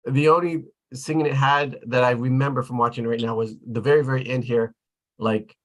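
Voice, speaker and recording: A man's voice: medium pitch, steady tone, brisk, low-quality recording. A man chatting casually in a group, tentative and often correcting himself mid-thought. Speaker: table read participant.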